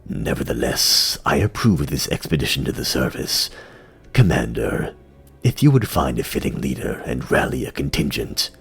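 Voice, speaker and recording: A very deep, husky insectoid king from a fantasy audio drama, acted out by a man. He is regal and weary, speaking with grave, formal authority and stern command. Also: big pitch swings, normal speed, heavily acted. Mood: weary, firm.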